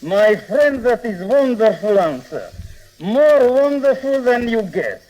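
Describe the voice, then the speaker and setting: Slow, high-pitched, imperious tycoon. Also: male voice, big pitch swings, darkish tone, exaggerated voice, low-quality recording. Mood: amused. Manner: slow, deliberate, self-important, clipped broken English. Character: imperious, mysterious foreign tycoon, amused by his own power. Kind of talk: 1950s radio drama acting.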